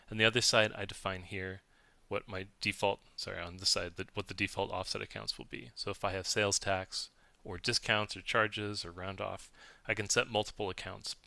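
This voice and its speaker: A man giving a methodical, explanatory walkthrough as a software demo presenter, recorded close on the mic. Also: lowish voice, gravelly, dry recording. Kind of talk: instructional screen-share walkthrough, semi-scripted.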